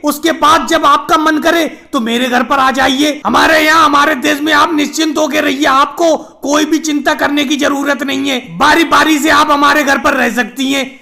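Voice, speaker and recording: A man puts on an extremely high, creaky cartoon voice for a chatty politician caricature. He bickers and chats like a villager in an animated comedy skit. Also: moderate pace, few pauses, exaggerated voice. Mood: earnest, hospitable.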